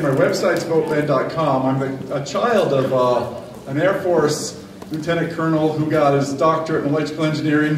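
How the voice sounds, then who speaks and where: A confident man gives a polished, self-promoting campaign pitch to a room of delegates. His voice sits slightly high for a man, and it carries from a distance through an echoey hall with a light hiss. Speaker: candidate for county attorney, city councilman. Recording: echoey room from far off, faint noise.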